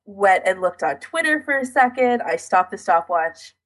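A woman's voice: medium pitch, animated, moderate pace. A woman co-hosting a podcast in casual chat, recounting things in detail with a self-deprecating streak. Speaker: podcast co-host.

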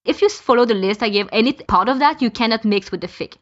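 A woman's voice: fairly high pitch, fast talker. Woman chatting on a lo-fi podcast. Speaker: podcast speaker, fan podficker. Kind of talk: casual podcast discussion. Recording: dull lo-fi voice recording.

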